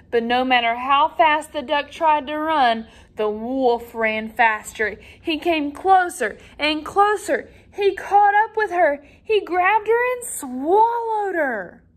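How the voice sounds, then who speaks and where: Female storybook reader, teacher type: higher-pitched, very lively intonation, dramatic emphasis aimed at young children. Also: slow pace. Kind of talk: animated storybook read-aloud.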